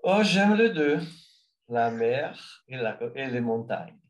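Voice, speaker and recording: A man from Brazil speaking French as a learner, with a Brazilian Portuguese accent, answering interview questions slowly and hesitantly as he searches for words. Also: medium pitch, sing-song.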